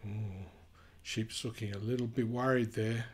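A man reading a children's picture book aloud, gently and expressively, with chatty asides. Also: fairly low pitch, steady tone, a little slow, breathy edge.

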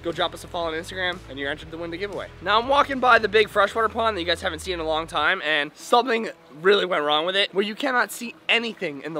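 A man in his twenties, talking fast and hyped to a handheld camera outdoors by his fish ponds. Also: sits high, pushed and bright, slight background noise. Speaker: fish-keeping vlogger. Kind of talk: excited vlog talk to camera. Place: outdoors by a pond and in a garage.

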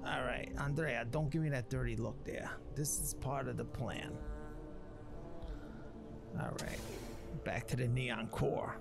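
A man's voice: medium pitch, a little slow, vocal fry, pauses often. A man hosting a gaming video, giving casual roleplay commentary in folksy, slangy storytelling. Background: music and game audio.

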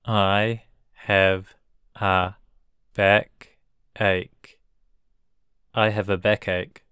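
A man's voice: on the low side, flat delivery, very slow, sharp tone, smooth, no echo, clean studio sound. A man teaching English words for illness, saying each word three times and then each sentence word by word. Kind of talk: slow drilled vocabulary reading.

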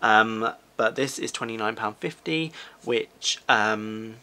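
Male vlogger, twenties, British. Bright, forceful voice with creaky edges, chatty and rambling. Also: on the low side, takes it slowly. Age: 20s. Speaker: fashion vlogger. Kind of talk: casual shopping haul commentary. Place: small furnished living room, indoors.

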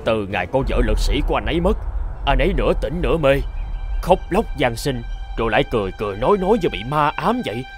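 A man's voice: medium pitch, very animated, fast, faint music behind, close to an everyday voice. An actor playing a gossiping, mocking village man in a cartoon folk tale. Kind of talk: Vietnamese cartoon voice acting.

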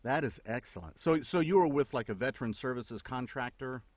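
A middle-aged man hosts a podcast interview, tossing out questions with a jokey, friendly manner. His voice sits at a middling pitch, recorded close on a condenser mic in a dry, treated home studio. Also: expressive, a little fast, a little mellow, dry recording. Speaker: podcast host. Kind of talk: casual interview chat. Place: home studio with foam panels.

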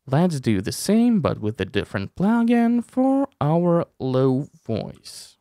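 Slow, animated young man, creaky voice. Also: on the high side, dry recording, clean studio sound. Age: young adult. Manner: informal, step-by-step explaining with playful asides. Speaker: tutorial host, streamer. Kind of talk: casual software tutorial. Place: small room at a desk, indoors. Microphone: close desk microphone with headphones.

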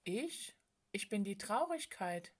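A woman plays a frail storybook spirit of sorrow, female and bodiless. She speaks in a hushed, plaintive way, timid and tired, as one who feels misunderstood and shunned. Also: mid-range voice, very animated, slow. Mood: timid, wary. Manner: hushed, hesitant, sighing, plaintive.

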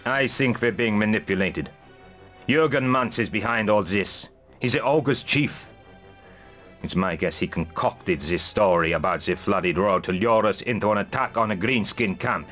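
A man's voice: lowish voice, moderate pace, fairly bright tone, soft background music, natural voice. A man playing a shrewd, suspicious mercenary lieutenant, serious and plain-spoken, in a fantasy video game. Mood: wary, irritated.

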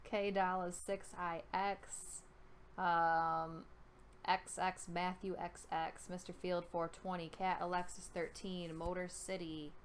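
A young woman streamer with a New York accent and a fairly low voice. She talks casually into a headset at home, informal and chatty as she reads out names. There is some faint noise behind her. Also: little pitch movement, slow pace. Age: young adult. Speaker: live streamer. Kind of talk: casual live-stream chat, reading out usernames.

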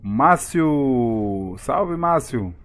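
A man's voice: medium pitch, animated, very slow, a bit bright. A man with a Bahian accent from Salvador, chatting casually with viewers and greeting them by name during a live game stream. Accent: Bahian Brazilian Portuguese. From Salvador, Bahia, Brazil.

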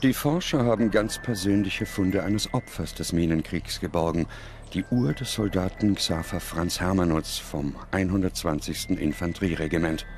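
Deep-voiced male documentary narrator. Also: a little mellow, a little hiss or hum. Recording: music under the voice. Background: music.

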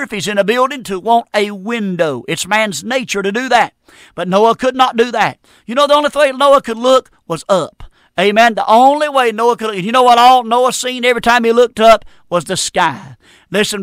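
A man's voice: high-pitched, a bit bright, clean tone, no echo, clean studio sound. An older man with a Southern US accent preaching a folksy, exhorting sermon, repeating his phrases for emphasis. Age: middle-aged or older. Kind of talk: impassioned folksy radio sermon.

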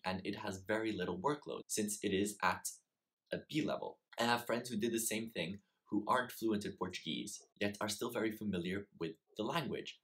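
A man's voice: lowish voice, fairly bright tone, poor audio. A young man vlogging study advice straight to camera in a small home study, earnest and explanatory, stressing points by repeating words. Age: young adult. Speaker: student giving study advice. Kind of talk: direct-to-camera advice vlog.

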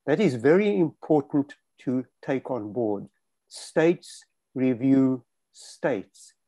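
Older South African male presenter, unhurried and emphatic, warm tone, dead-dry video-call audio. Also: medium pitch, animated, no echo. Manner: slow, careful, emphatic repetition. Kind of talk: webinar presentation.

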